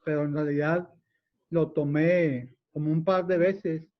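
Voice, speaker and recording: Man, slightly high, lo-fi call. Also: steady tone, unhurried. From Mexico. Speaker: support group participant. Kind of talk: casual group video call. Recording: dull lo-fi video call audio.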